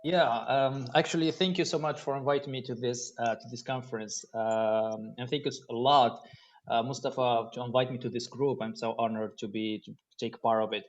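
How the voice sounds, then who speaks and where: A man's voice: medium pitch, fairly even intonation, normal speed. A man from Morocco, a payments business manager, speaking as a guest on a video call, hesitant and repeating words. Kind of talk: casual remarks on a video call. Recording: dull lo-fi call audio.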